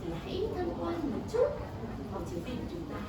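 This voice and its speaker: A woman's voice: very high voice, huge pitch swings, fast talker, heavy background noise. A woman with a Northern Vietnamese accent, a preschool teacher, leading small children through a lesson with encouraging words and frequent questions. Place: indoor classroom. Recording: echoey room, distant mic, loud music behind.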